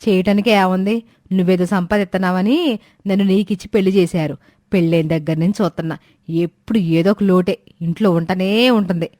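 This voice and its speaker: A nagging village wife, acted by a woman storyteller, low in pitch and recorded dry. She is greedy, scolding and sarcastic, in a storybook moral tale. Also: fairly even intonation, a little fast, mellow, no room sound, lightly acted.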